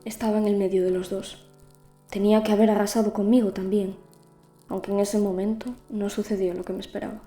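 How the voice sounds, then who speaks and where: Dark-voiced teenage girl narrator in roomy echo, acted by a woman. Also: mid-range voice, little pitch movement, brisk, faint music behind, natural voice. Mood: awed, subdued. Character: lonely frightened teenage girl narrating her ordeal with a dragon. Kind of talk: dramatic first-person audio fiction narration.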